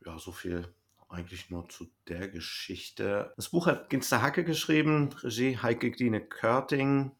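A man's voice: mid-range voice, unhurried, fairly bright tone. A man co-hosting a podcast, chatting casually as he reviews audio dramas. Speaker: podcast co-host; bus driver.

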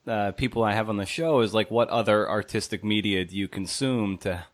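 A man's voice: lowish voice, a little fast. A man hosting a podcast interview, asking questions in a conversational style.

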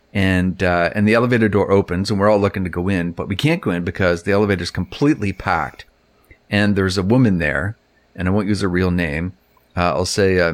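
Middle-aged male co-host, lowish pitch, close dry sound, conversational storytelling. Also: no echo. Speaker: podcast co-host. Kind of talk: casual chat, personal anecdotes.

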